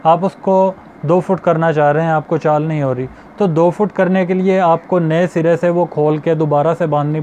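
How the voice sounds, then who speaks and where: A young man talks straight to camera in a small studio, teaching fishing basics one step at a time and circling back to repeat his points. His voice sits a little high but sounds soft and mellow. A faint hum of noise runs beneath the lapel mic. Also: dull audio. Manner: explanatory, repeats points, addresses viewers as friends. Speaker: fishing channel host. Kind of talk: instructional talk to camera. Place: small indoor studio with starry backdrop.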